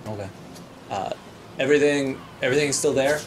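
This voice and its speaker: A man's voice: medium pitch, a little fast. A man playing a tabletop roleplaying game, asking questions casually. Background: background music.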